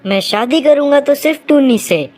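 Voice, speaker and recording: A woman's voice: sits high, expressive, normal speed, darkish tone. A woman voicing a rich young male city crow, an earnest and insistent son in a cartoon story.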